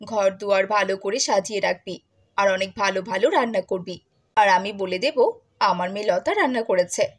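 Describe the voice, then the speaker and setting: A woman playing a harsh, bossy middle-aged stepmother in a cartoon moral tale, with a nagging way of talking and a husky voice. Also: mid-range voice, normal speed, close to an everyday voice. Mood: scolding.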